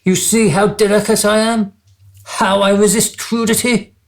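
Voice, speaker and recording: A man's voice: high-pitched, level tone, a little slow, creaky. An actor playing a childish, vain and callous king in a comic radio play, pompous and petulant. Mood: smug, imperious. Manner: pompous, petulant, theatrically posh phrasing.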